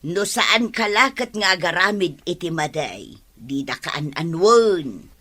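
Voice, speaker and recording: A woman's voice: fairly low pitch, lively intonation, a little slow, bright, forceful tone, exaggerated voice. A woman with an Ilocano accent playing a pushy, practical village wife in a radio comedy drama. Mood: insistent. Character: pushy, practical village wife urging her husband along.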